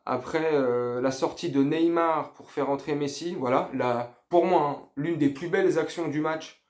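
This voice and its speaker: A man's voice: on the high side, unhurried, a little mellow. A middle-aged man talking casually and slangily to viewers as a football fan, rambling and hesitating, sounding underwhelmed. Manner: underwhelmed, lacking enthusiasm. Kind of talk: casual post-match debrief to viewers.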